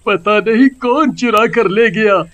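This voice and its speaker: A man voicing a poor male cycle owner in a cartoon, loud and excited. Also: high-pitched, slow, highs cut off, pitched above the performer's own voice. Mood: overjoyed.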